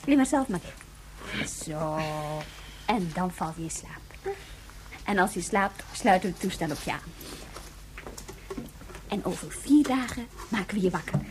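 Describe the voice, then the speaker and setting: A woman playing a kindly hospital nurse in a radio drama, gentle and coaxing. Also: lowish voice, expressive, unhurried, pauses often. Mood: soothing. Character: kindly, soothing young-spirited female hospital nurse. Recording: clearly noisy radio play recording.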